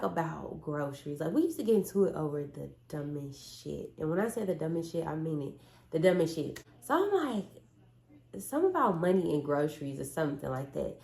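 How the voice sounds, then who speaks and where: A young woman with tells a story in a blunt, animated way, her voice hoarse from a cold and gravelly with fry. She sits a little low in pitch. The room around her is echoey. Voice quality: raspy. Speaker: vlogger. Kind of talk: casual storytime chat.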